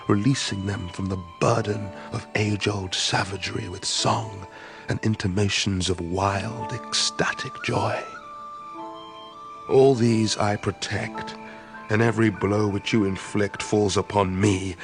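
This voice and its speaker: An actor playing a charismatic wine god and cult leader in an audio drama, with a measured, incantatory, poetic delivery. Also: male voice, lowish voice, vocal fry. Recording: music behind the voice.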